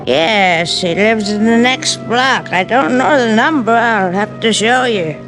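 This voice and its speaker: A woman's voice: on the high side, unhurried, over music, lightly acted, rough recording. A woman playing a meek old flower seller in a vintage radio drama. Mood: shocked, dazed. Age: older. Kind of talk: 1940s-50s radio acting.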